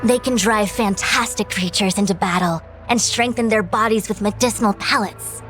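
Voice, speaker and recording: A woman's voice: medium pitch, normal speed, over music, lightly acted. An actress voicing an anxious, chatty young female fortune-teller in a video game, dramatic and explanatory. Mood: earnest lecturing.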